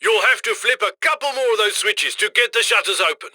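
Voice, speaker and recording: A man's voice: sits high, lively intonation, fairly quick, pushed and bright, no room sound, clean studio sound, full character voice. An actor voicing a cocky, upbeat criminal heist handler in a video game, slick and conversational as he directs and eggs on the crew. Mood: firm, instructing. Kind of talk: video game mission voice-over.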